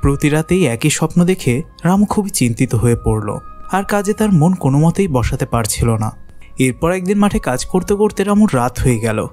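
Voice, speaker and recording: A man's voice: on the high side, lively intonation, normal speed, darkish tone, soft background music. A man narrating a children's cartoon folk tale as a dramatic scripted storyteller.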